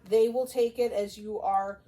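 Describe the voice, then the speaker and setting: A woman's voice: mid-range voice, unhurried. A woman co-hosting a podcast, giving advice in a casual, emphatic, bantering way. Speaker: podcast co-host. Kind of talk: casual conversational advice talk. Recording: clear steady background noise.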